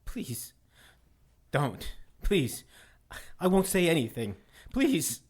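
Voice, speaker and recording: An actor playing a nervous young newspaper reporter in a 1940s pulp audio drama. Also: male voice, a little high, big pitch swings, drawn-out words, breathy edge, full character voice. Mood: terrified pleading. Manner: nervous, stammering. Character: frightened young male newspaper reporter, bluffing then pleading.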